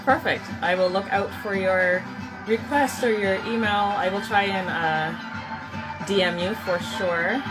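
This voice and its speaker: A woman's voice: medium pitch, measured pace, music in the background, low-quality recording. A woman hosting a live podcast stream, upbeat and laughing often as she greets viewers and asks questions. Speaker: podcast host.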